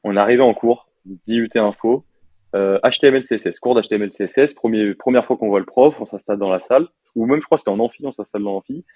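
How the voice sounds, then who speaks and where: A young man from France in his twenties talks shop as an interview guest, relaxed and chatty, full of fillers and slang. His voice sits low. The recording is dry and a bit dull, with little room sound. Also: quick pace, dry recording. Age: 20s. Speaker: software developer guest. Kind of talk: casual interview chat.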